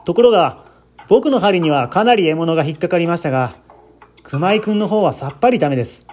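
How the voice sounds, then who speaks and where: Guy doing an anxious polite man telling his story in an audio drama, high lively voice, bit of noise behind. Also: a little fast, lightly acted. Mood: earnest, explaining. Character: earnest, anxious man recounting a friend's death.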